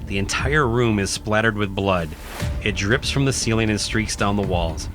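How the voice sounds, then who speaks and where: A man reading scripted horror narration in a dramatic, deliberate storytelling manner. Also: lowish voice, over music. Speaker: narrator, podcast host.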